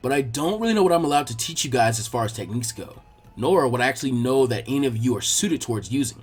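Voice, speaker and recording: A man voicing a calm, encouraging adult ninja teacher in a story reading, measured and reassuring. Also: mid-range voice, husky, faint music behind, pitched below the performer's own voice. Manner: measured, reassuring, laid-back. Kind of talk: fan fiction character dialogue.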